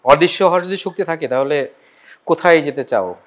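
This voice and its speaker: Man, Bangladeshi accent, fairly high voice, interview host, casual and familiar address. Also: fairly quick. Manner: informal, familiar. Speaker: talk show host. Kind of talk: casual celebrity interview with rapid-fire questions.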